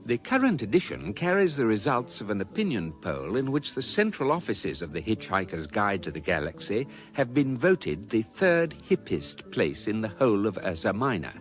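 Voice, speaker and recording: An actor playing the calm, erudite narrator of a galactic guidebook in a radio comedy, with measured, deadpan delivery. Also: male voice, fairly low pitch, faint music behind. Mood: dry, measured. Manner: measured, dry, deadpan delivery of absurd statements. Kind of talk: scripted comic narration in radio drama.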